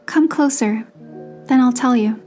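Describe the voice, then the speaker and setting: A woman's voice: high-pitched, a little slow, mellow. A woman reading scripted, dramatic story narration. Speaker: narrator and voiced player character of a game story. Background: music behind the voice.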